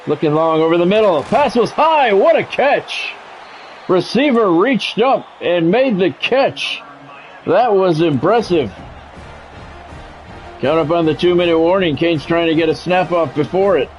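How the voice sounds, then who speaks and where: A man's voice: high-pitched, a little slow, faint music behind, poor audio. A middle-aged or older man giving live play-by-play of a football video game in short, clipped announcer phrases. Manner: announcer-like, short clipped phrases, excited calls on big plays.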